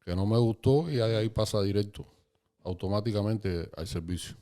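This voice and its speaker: A Cuban man in his fifties, a podcast guest calmly recalling his life story in casual conversation. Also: on the low side. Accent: Cuban Spanish. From Cuba. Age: 50s. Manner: calm, unhurried, recalling dates and places.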